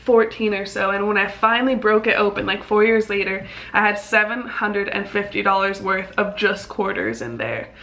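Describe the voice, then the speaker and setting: A woman's voice: medium pitch, normal speed, hardly any pauses, fairly bright tone. A young woman from Mexico chatting casually in a vlog, reading out viewer questions and answering them, with lots of "like" fillers. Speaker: vlogger.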